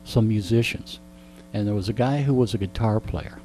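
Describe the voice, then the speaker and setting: A man's voice: lowish voice, moderate pace, warm tone. A man being interviewed in a radio studio, telling stories in a casual, joking, rambling way. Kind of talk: casual anecdotal storytelling. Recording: faint mains hum.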